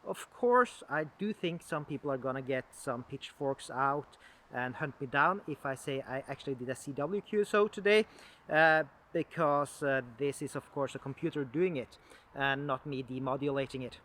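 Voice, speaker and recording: A man giving a casual, unscripted equipment demonstration as a hobbyist, explaining things in a halting, conversational way. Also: medium pitch, fairly bright tone, slight background noise.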